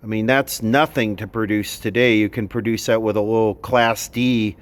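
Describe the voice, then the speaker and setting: A man's voice: fairly low pitch, steady tone, moderate pace. A man giving casual, unscripted commentary as he repairs electronics, talking bluntly and thinking aloud in fragments. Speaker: electronics repair video host.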